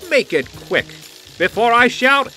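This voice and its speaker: A boastful insect supervillain played by a man from a superhero radio play. He taunts theatrically in rhyme, with a high voice that swings widely in pitch. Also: very animated, measured pace, sharp tone, soft background music, heavily acted. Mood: gloating, menacing. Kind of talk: superhero audio drama villain acting.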